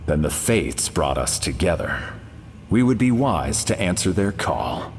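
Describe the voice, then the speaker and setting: A lofty cosmic warrior from a video game, formal and portentous. His low voice rises and falls dramatically over a clear rumbling ambience. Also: sing-song, a little slow, a little hiss or hum, full character voice. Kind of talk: video game character lines.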